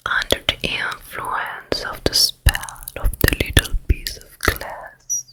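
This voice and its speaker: A woman playing a vain, scheming wicked queen and stepmother in a whispered ASMR roleplay, slow, deliberate and given to repeating her words. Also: close to an everyday voice, low-quality recording. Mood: gloating, secretive. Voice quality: breathy unvoiced whisper. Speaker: ASMR performer.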